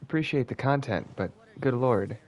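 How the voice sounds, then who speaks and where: A man's voice: medium pitch, expressive, moderate pace, darkish tone. Casual, swearing male game streamer. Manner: informal, profane. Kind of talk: casual live game commentary.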